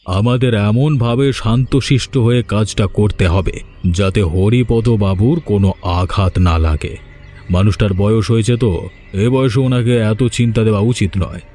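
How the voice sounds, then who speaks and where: A man's voice: lowish voice, unhurried, dark tone, soft background music, natural voice. A man playing a scheming, domineering older village landlord in a cartoon, with a commanding, condescending manner. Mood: calm, commanding. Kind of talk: Bengali cartoon voice acting.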